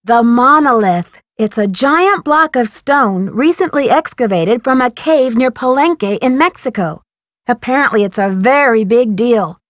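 A young American girl detective, friendly and composed, with a fairly high voice. She explains things earnestly and with confidence in a dry, close studio recording, as in a mystery game. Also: lively intonation, unhurried, no room sound. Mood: informative, interested. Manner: clear-spoken, earnest, self-assured. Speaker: voice actor. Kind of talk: video game phone dialogue.

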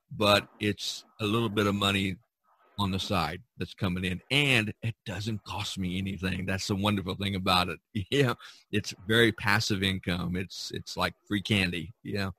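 A man's voice: fairly low pitch, fairly even intonation, moderate pace, bright, forceful tone. A man hosting a casual podcast about art, talking in a rambling, enthusiastic way and repeating himself. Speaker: podcast host and artist.

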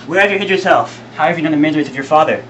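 A man playing a stern, indignant duke in amateur Shakespeare, brisk and declamatory, recorded from a distance with noise. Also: mid-range voice, steady tone, fairly bright tone, highs cut off, lightly acted. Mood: forceful. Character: stern, commanding Duke of Albany, indignant male noble. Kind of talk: amateur Shakespearean stage acting.

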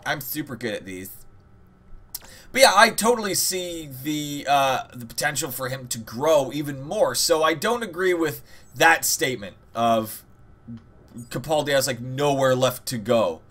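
A man's voice: medium pitch, lively intonation, measured pace, a bit bright. A man giving casual, jokey let's-play commentary as host, rambling and swearing freely. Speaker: host of a gaming channel.

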